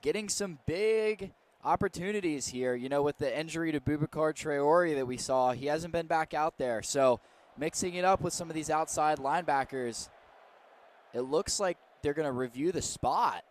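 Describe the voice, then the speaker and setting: A man's voice: a little high, moderate pace. A man giving live football analysis on radio, conversational and free with his opinions. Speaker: color commentator. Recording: faint stadium noise behind.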